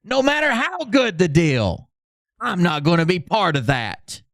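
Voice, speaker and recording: A man's voice: high voice, very animated, measured pace, fairly bright tone, no echo, clean studio sound, heavily acted. A man doing an over-dramatic reading as a pompous, self-important doomsaying orator, grandiose and declamatory. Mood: righteous.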